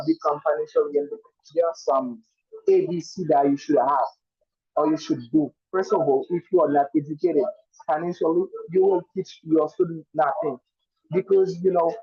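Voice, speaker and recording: A man's voice: fairly high pitch, darkish tone, slightly rough, no echo. A man whose first language is Haitian Creole, a student in an online speaking class, giving a casual answer with lots of "you know" fillers and restarts. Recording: muffled online call audio.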